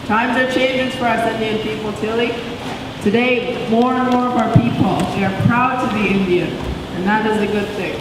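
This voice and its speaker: A woman's voice: medium pitch, close to an everyday voice. Woman reading as a proud old grandmother, echoey hall. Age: older. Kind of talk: reading a book aloud. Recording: echoey hall, distant mic, some noise.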